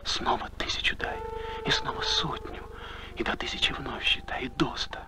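A man playing an ardent young lover, whispering love poetry theatrically in an animated film. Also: medium pitch, normal speed. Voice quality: breathy, whispering. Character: ardent young male lover in ancient Greece. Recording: film voice under loud music.

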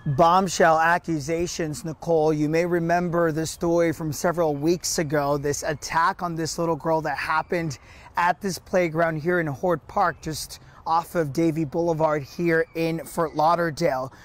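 A man's voice: on the high side, fairly even intonation, normal speed. A man in his thirties reporting live for local television news. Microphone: lapel mic.

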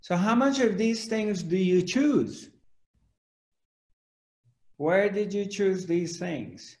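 A man's voice: high-pitched, animated, slow pace, a little mellow. A man in his forties giving a spiritual talk to a webcam at home, asking question after question and gesturing broadly. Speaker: spiritual teacher. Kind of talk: spiritual discourse to camera. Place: home room indoors. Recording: echoey room.

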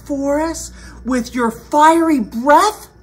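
A woman voicing a bold, clever young storybook princess, eager and emphatic in her flattery. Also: high-pitched, slow, voice pushed up.

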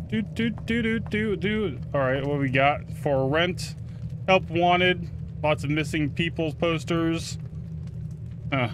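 A man giving casual first-look game commentary, excitable and sweary, reacting aloud and chatting to his viewers. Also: on the high side, background noise. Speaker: gaming video host.

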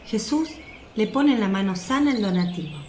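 A woman's voice: medium pitch, expressive, normal speed, a little mellow. A woman reading scripted narration for a radio drama. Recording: roomy sound with faint noise. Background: birdsong, outdoor ambience.

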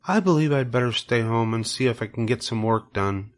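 A man voicing a calm, sensible female spider in a storybook, measured and matter-of-fact. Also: mid-range voice, natural voice. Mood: firm.

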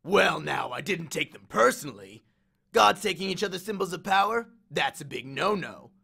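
A man performs a swaggering god of war for an audiobook, a menacing biker bully. He is cocky and slangy, taunting in a bright, forceful voice with plenty of gravel and big swings of pitch. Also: animated, normal speed, full character voice. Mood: gleeful, taunting. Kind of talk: audiobook character voice.

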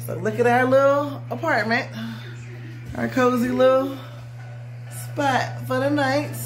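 Casting: female travel vlogger, Southern US accent, higher-pitched, unhurried delivery, casual and informal, speaking into a handheld phone. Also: fairly even intonation, soft background music, low-quality recording. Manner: informal, addressing viewers as y'all. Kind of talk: casual travel vlog chat. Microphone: phone at arm's length.

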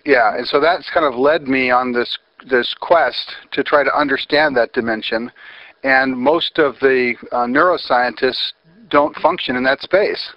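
A man's voice: mid-range voice, no echo. Earnest American man interviewing by phone. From United States. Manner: earnest, wordy, pauses with fillers. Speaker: interviewer, host. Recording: dry, slightly muffled phone recording.

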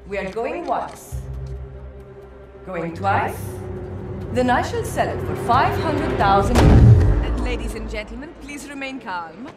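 A woman playing a formal, composed auctioneer in a video game cutscene, announcing in a measured way to a hall. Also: fairly high pitch, expressive. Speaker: game character voice actor. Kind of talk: video game cinematic acting. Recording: echoey distant hall, music behind.

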